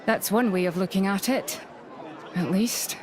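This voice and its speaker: A woman's voice: mid-range voice, noisy background, lightly acted. A woman playing a composed, dry, formal imperial officer in a video game. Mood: matter-of-fact. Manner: formal, measured, cool. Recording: game music and crowd behind.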